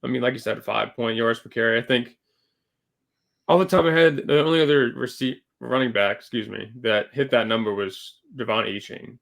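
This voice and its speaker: Gravelly-voiced man in casual chat. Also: medium pitch, fairly quick, fairly bright tone. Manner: conversational, filler-heavy, self-correcting. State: slightly losing his voice. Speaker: podcast co-host.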